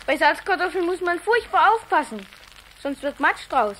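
An earnest little boy with a very high, bright voice. He sounds responsible and plain-spoken, in the manner of an old children's radio drama. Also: sing-song, moderate pace, far from the mic, slight background noise, heavily acted. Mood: busy, helpful. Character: earnest, responsible, hard-working young boy. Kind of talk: 1960s German children's radio play acting.

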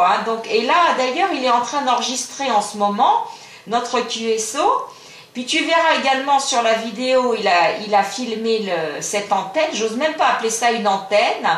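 A woman with a high voice talks casually on amateur radio from a small indoor shack. She explains in short, encouraging phrases, and the room adds a clear echo as a camera microphone picks her up. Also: a bit bright. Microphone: camera filming the radio desk.

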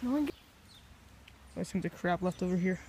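A teenage boy vlogging casually while exploring outdoors and inside an empty house, excited and laughing, filmed on a handheld phone. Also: high-pitched, brisk, slight background noise. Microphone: handheld phone camera.

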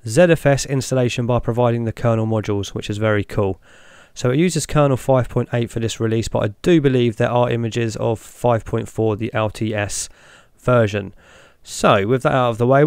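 Man calmly narrating a software walkthrough. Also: medium pitch, smooth, no room sound, studio quality. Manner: relaxed, talks through each step as he clicks. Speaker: tech video host. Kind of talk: screen-recorded walkthrough narration.

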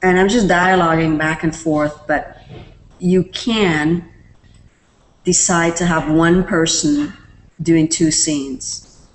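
A woman presenting a screen-recorded software tutorial, explaining each step in a relaxed way with fillers. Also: lowish voice, slow pace, long pauses, rough recording. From Canada.

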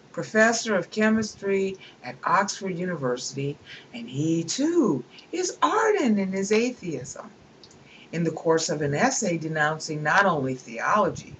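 A woman's voice: mid-range voice, slight background noise, low-quality recording. A woman reading a book aloud at her computer and breaking off into emphatic, scornful commentary. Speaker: reader and commentator.

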